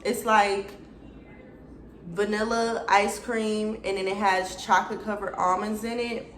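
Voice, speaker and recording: A woman's voice: medium pitch, level tone, measured pace, echoey room. A woman in her twenties from Atlanta with an Atlanta accent, chatting casually to her viewers in a get-to-know-me video. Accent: Atlanta Southern US. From Atlanta, Georgia, USA. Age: 20s. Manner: chatty, slangy, addresses viewers directly. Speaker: YouTuber.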